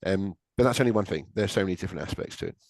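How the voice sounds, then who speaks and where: A young man from Wales, speaking as a government official on a webinar panel. His voice sits very low, and the sound is close and dry with no room around it. He talks in a careful, hedging way, full of fillers and restarts. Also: rapid-fire, no room sound.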